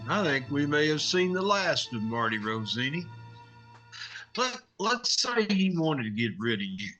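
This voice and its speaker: An actor playing a wry, scrappy, wisecracking newspaper reporter in a 1950s-style radio drama. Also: male voice, on the high side, sing-song, unhurried, sharp tone, soft background music, heavily acted. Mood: wry, speculating. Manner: wisecracking, colloquial.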